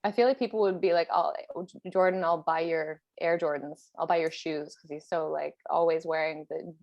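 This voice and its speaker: A woman's voice: sits low, steady tone, no echo, dull audio. Joking woman, close dry sound. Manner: joking, self-deprecating, quick to laugh. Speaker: podcast host. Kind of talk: casual chat.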